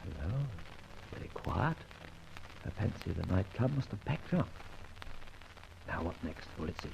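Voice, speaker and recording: An actor playing a dashing, breezy gentleman adventurer in an old-time radio detective drama. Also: sits low, big pitch swings, a little slow, vocal fry, noisy background, full character voice. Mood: hushed, alarmed. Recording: dull, lo-fi old radio recording, faint music.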